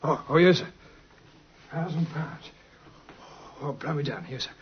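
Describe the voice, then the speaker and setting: A man's voice: a little high, measured pace, lightly acted. An actor with a London Cockney accent playing a deferential, easily astonished working man, stammering and exclamatory, in old-time radio drama. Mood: dazed, astonished. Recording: muffled, noisy old radio recording.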